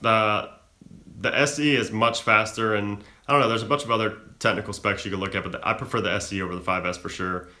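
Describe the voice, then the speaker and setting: A man's voice: on the low side, fairly even intonation, quick pace, pushed and bright, echoey room. A young man from the American South, hosting a live stream and reading viewer questions aloud before answering them offhand. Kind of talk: casual live Q&A chat.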